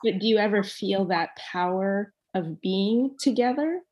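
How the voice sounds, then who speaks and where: A woman's voice: mid-range voice, animated, measured pace, darkish tone. Thoughtful woman asking probing questions. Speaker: teacher co-host. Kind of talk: interview questions.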